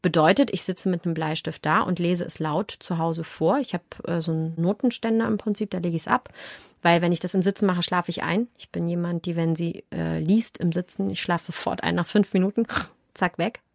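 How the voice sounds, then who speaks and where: A woman in an interview, talking about her work in an animated, storytelling way. Her voice sits a little low. The studio sound is dry and close, with no room echo. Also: brisk, gravelly. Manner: lively, animated storyteller who slips into voices. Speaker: audiobook narrator and actress. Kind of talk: relaxed conversational interview.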